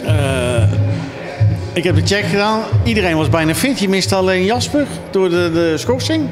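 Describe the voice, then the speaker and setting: A man with a slightly high voice interviews in a chatty, informal post-game style. The room rings with reverb, and music is clearly audible behind him. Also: expressive, normal speed. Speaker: sports interviewer.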